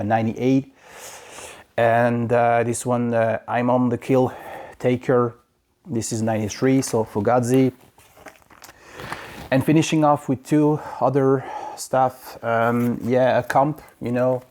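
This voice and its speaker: A man from France with a French accent, chatting casually about his record purchases in a halting way, full of fillers and restarts. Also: medium pitch, fairly even intonation, a little mellow, slight background noise. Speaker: vinyl collector vlogger. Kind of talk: casual record haul review. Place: home in Quebec.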